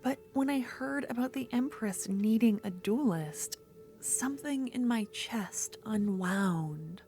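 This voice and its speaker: A woman playing an obsessive, unhinged female duelist rival in an ASMR fantasy roleplay, speaking intimately and often slipping toward a breathy whisper. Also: a little high, takes it slowly, warm tone, faint music behind. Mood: brooding, gloating.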